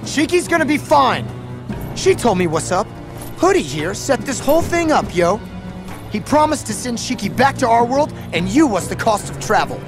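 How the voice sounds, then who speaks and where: Cocky street teen, high voice all over the place, slangy, blunt, game cutscene. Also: male voice, very animated, moderate pace, over music, exaggerated voice. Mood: indignant. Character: brash, hot-headed streetwise teenage boy.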